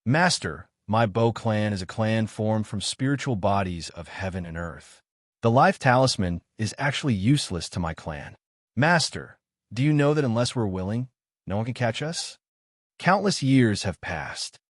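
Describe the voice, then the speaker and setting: A man's voice: on the low side, lively intonation, normal speed, dry recording. Polite mysterious slave girl from a fantasy audiobook guy doing her, humble but confident, dry sound. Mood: soft, secretive. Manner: deferential, formal, quietly confident. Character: polite, cryptic young slave girl of nonhuman clan.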